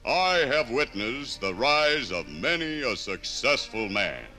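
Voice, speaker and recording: Old pompous iron ore character, slow grand speeches, big swoopy delivery, 50s radio play. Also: male voice, mid-range voice, very animated, sharp tone, soft background music, full character voice. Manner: pompous, theatrical, orating. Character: ancient, talkative, self-important personified iron ore. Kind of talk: 1950s radio drama acting. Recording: 1950s radio broadcast from LP.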